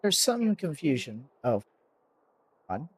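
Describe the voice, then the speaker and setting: A man's voice: a little high, big pitch swings, slow, slightly rough, no echo. A man giving casual, offhand reactions as he streams a game, in short informal asides.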